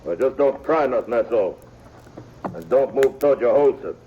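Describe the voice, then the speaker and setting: A gruff frontier outlaw, as an actor plays him growls his lines in a dark, mellow drawl, rough and threatening. The old radio recording sounds dull and muffled, with a faint hiss beneath the voice. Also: medium pitch, animated, moderate pace, close to an everyday voice. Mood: menacing. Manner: drawling, menacing, rough country talk. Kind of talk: 1950s radio drama acting.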